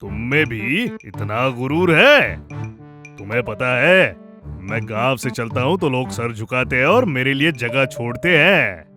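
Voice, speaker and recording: A man's voice: medium pitch, big pitch swings, a little slow, sharp tone, faint music behind. A man voicing a vain, haughty horse in a children's cartoon, talking pompously and scornfully. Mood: boastful. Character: vain, haughty male horse claiming royal blood.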